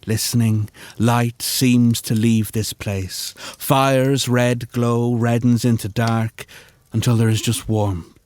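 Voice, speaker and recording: A man narrating scripted atmospheric fiction in a hushed, measured, poetic manner. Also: mid-range voice, level tone, dry recording.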